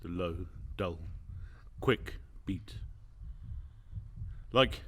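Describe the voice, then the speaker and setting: A nervous, obsessive madman in a gothic radio play. He speaks slowly and haltingly, with a stammering edge. His pitch swings dramatically between hushed secrecy and outburst. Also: a little hiss or hum, full character voice. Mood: agitated, mounting rage. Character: nervous, unhinged madman confessing a murder obsession. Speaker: narrator actor in a radio play.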